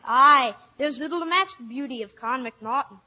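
Bright, high-voiced Irish boy. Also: moderate pace, full character voice. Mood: wry, curious. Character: polite, candid, superstitious Irish village boy. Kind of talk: 1950s radio drama acting. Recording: muffled vintage radio recording.

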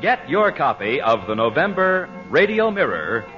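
A man's voice: a little high, sing-song, normal speed. A man announcing and selling in a polished, salesmanlike 1940s radio style. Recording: dull vintage radio recording with music.

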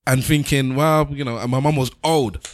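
A man's voice: mid-range voice, moderate pace, dry recording, clean studio sound. A forty-year-old man, arguing his point emphatically in a casual group chat. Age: 40s. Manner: animated, emphatic, talks over others. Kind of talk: casual debate among friends.

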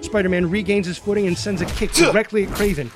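Male narrator for superhero action script: higher-pitched, punchy, dramatic, clear music bed underneath. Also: fairly even intonation, fast. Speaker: stage directions narrator. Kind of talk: dramatic scripted narration with spoken sound effects.

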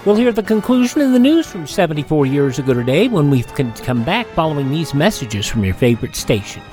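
A man's voice: fairly high pitch, very animated, a little fast, darkish tone, soft background music. A man hosting a radio program, presenting and reading ads in a scripted style. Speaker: radio host.

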